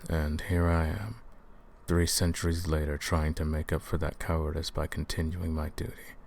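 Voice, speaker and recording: A man voicing a guilt-ridden, centuries-old vampire hunter in a slow, hushed, somber roleplay monologue. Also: very deep voice, vocal fry, exaggerated voice. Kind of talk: scripted ASMR roleplay monologue.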